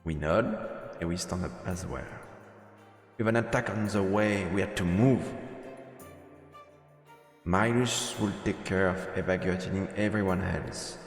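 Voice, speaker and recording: A man's voice: low-pitched, measured pace, pauses often, reverberant, in a large hall, soft background music. A man streaming a visual novel, reading narration aloud and adding short comments as he plays. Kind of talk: let's play commentary and read-aloud narration.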